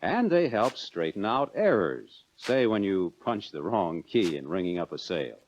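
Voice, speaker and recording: Lively American man narrating a 1940s training film. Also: deep voice, a little hiss or hum. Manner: instructive, measured, clearly addressing the viewer. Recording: dull lo-fi 1940s film soundtrack.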